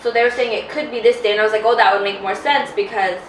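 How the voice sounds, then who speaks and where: A woman's voice: fairly high pitch, fairly even intonation, quick pace, slight background noise. A woman in her twenties, a podcast co-host, telling a personal story in casual chat with lots of "like" and candid detail. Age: 20s. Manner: candid rambling storytelling, heavy on "like". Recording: roomy, distant mic.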